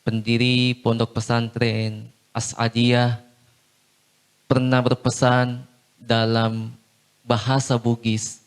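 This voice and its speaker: A man preaching a short Islamic sermon in a measured, solemn way, phrase by phrase with long pauses. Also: mid-range voice, monotone, clear, resonant tone.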